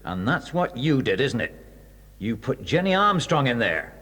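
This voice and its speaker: Genial British police inspector. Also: male voice, mid-range voice, big pitch swings, normal speed, a bit bright. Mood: stern. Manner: genial, fussy, with ums. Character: hearty, kindly police inspector, middle-aged man. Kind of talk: 1980s audio drama acting.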